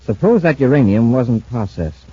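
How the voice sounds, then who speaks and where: An actor playing an authoritative, thoughtful space commander in an old-time radio sci-fi serial, speaking crisply and deliberately. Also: male voice, medium pitch, expressive. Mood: pondering. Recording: noisy, muffled old radio recording.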